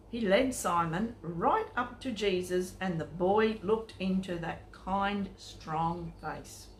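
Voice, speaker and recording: Unhurried woman storyteller in an echoey room. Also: fairly low pitch. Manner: expressive, addressing children. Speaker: children's storyteller. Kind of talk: narrated children's Bible story reading. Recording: echoey room with faint noise.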